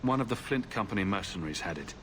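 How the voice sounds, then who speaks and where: An actor playing a loyal, plain-spoken adventurer hero in a video game. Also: male voice, deep voice, animated, fast, a bit bright, slight background noise. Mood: gentle, sincere. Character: loyal, frank adventurer hero, supportive friend.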